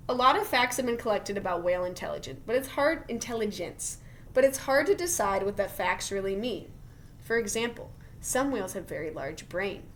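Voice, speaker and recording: A woman reads a children's science book aloud in the manner of a teacher. Her mid-pitched voice moves up and down in a lively way, with exclamations of wonder and encouraging asides. There is faint noise behind her. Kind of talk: animated read-aloud with chatty asides to children.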